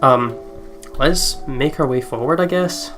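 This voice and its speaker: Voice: mid-range voice, a little slow. Sweary gamer narrating over music. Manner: sweary, stumbles while reading aloud. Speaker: let's play host reading a visual novel aloud. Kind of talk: casual gaming commentary mixed with read-aloud narration.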